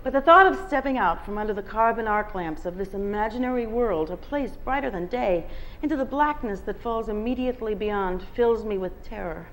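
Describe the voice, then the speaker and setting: A woman's voice: mid-range voice, a little fast, low-quality recording. A woman reading a novel aloud at a bookstore, telling the first-person story with expression. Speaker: guest reader. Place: bookstore reading before an audience.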